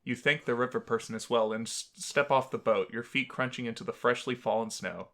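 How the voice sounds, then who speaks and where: A woman's voice: rumbling low, fairly quick. A woman hosting a game playthrough, mixing casual chat with read-aloud narration. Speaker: gaming channel host.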